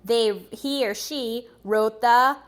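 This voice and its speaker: High-pitched woman reading slowly to children. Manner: slow, deliberate, expressive, pausing over words for young listeners. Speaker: preschool teacher reading aloud. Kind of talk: animated children's read-aloud with asides.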